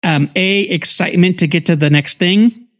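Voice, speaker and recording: A man's voice: high voice, moderate pace. An American man in his forties giving casual, conversational commentary as a podcast co-host. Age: 40s. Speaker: podcast co-host reviewing comics.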